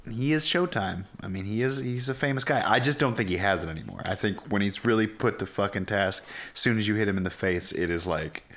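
A man's voice: on the low side, brisk, few pauses, a bit bright. A man co-hosting a casual podcast chat. He gives blunt, emphatic opinions and swears often. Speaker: podcast co-host.

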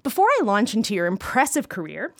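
A woman's voice: medium pitch, sing-song, quick pace, no echo, studio quality. A young woman in her twenties hosting an interview, admiring and enthusiastic in her introduction and questions. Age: 20s. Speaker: interview host.

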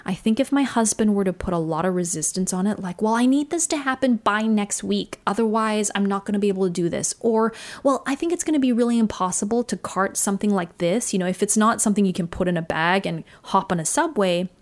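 A woman's voice: mid-range voice, fast, few pauses. A woman who was born in Singapore and raised in Australia, hosting a solo podcast and telling personal stories in an earnest, reflective way. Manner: earnest, reflective, shifts pace often. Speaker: podcast host.

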